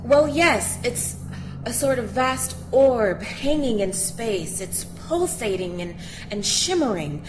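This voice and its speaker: A woman's voice: fairly high pitch, expressive, slow pace. A woman playing an earnest, intrepid field reporter giving a live report from aboard a spaceship in an audio drama. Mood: awed. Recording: noisy spaceship ambience behind voice.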